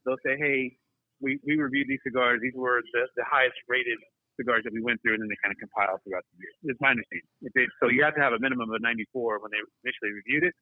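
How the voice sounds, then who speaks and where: A man's voice: medium pitch, very fast, dry recording. A man with a mid-range voice comes through a narrow, radio-like band with very little room sound. He talks casually as a guest, easygoing, stopping and restarting as he explains. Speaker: guest correcting pronunciation and commenting on cigars. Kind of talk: casual chat.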